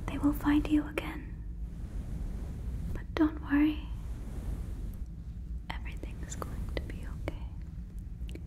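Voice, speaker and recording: A woman playing an earnest, doting alien in an ASMR roleplay, speaking close to the mic in soothing, stilted, repetitive phrases. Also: high voice. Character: earnest, doting female alien convinced listener is a cow. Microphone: close fluffy microphone.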